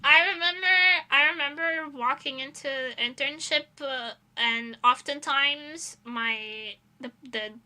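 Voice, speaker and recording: Young female VTuber streamer, high and piercingly bright voice, informal giggly delivery, rambling anecdotes in a casual chat style. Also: takes it slowly, few pauses, rough recording. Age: young adult. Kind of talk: casual livestream chat and storytelling.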